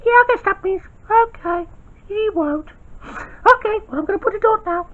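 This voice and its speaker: A woman's voice: very high voice, moderate pace. A woman voicing a cheerful young boy who fusses over and soothes a baby in a homemade toy play, on a dull lo-fi home recording. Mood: soothing. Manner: chatty, fussing and soothing.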